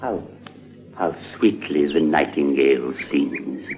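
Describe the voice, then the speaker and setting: A man's voice: very deep voice, lively intonation, takes it slowly, faint music behind, heavily acted. Sinister old madman, wildly swooping delivery. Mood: oily courtesy. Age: older. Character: mad, sinister old uncle scheming with his brother for gold. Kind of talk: old-time radio drama acting. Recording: muffled, band-limited old radio recording.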